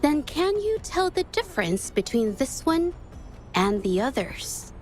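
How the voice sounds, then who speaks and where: Young game heroine, fairly high voice, formal, measured, assertive, courtroom advocate, light music. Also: female voice, big pitch swings. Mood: probing. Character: composed young woman arguing a case in court. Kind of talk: dramatic video game acting. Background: background music.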